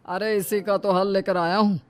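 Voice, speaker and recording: A woman's voice: mid-range voice, steady tone, normal speed, a little hiss or hum, close to an everyday voice. A woman voicing a genial, persuasive village elder brother-in-law in a cartoon story.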